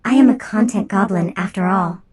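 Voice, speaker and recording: A woman streamer chatting casually with a playful, deadpan, slangy manner. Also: mid-range voice, brisk, slightly rough. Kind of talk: casual live stream chat.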